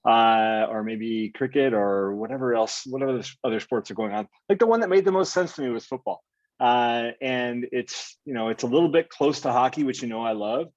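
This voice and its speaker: A man's voice: medium pitch, a little fast, dry recording. Rambling American man on a dull dry mic. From United States. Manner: relaxed, rambling storytelling with many fillers. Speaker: podcast co-host. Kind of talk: casual chat.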